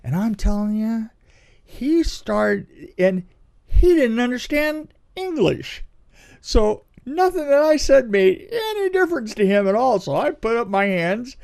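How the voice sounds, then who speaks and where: A middle-aged man telling a story in casual conversation, dramatic and halting, repeating phrases for emphasis. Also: sits high, vocal fry, a little mellow. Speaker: podcast co-host telling anecdotes.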